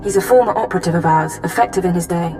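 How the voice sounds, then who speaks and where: A woman's voice: on the low side, rapid-fire, music in the background, close to an everyday voice. Woman playing a cool intelligence handler. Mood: brisk, businesslike. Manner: crisp, formal briefing. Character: cool, efficient female imperial intelligence handler. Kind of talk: video game voice acting.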